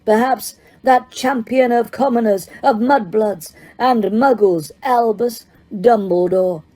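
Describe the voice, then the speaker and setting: A woman reading aloud, putting on the voice of a cold, menacing male dark wizard who speaks slowly and quietly. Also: on the high side, pitched above the performer's own voice. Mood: brooding.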